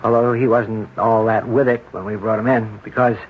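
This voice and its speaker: A man's voice: lowish voice, fairly even intonation, moderate pace, close to an everyday voice. Matter-of-fact radio-drama doctor, acted by a man, muffled. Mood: sober, candid. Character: brisk, casual male hospital doctor, slangy. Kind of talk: old-time radio drama acting. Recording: muffled, noisy old radio broadcast recording.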